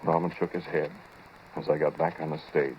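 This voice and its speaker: An actor playing a haunted inventor who narrates a terrifying experiment in an old-time radio horror drama, halting and intense. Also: male voice, very deep voice, flat delivery, a little hiss or hum. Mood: brooding, intense. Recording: muffled old radio recording, music behind.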